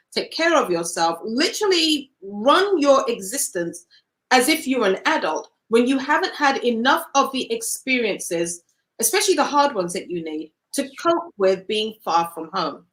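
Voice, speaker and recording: Woman from Cameroon, interview guest, earnest and reflective, pitched a little high. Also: a bit bright, poor audio.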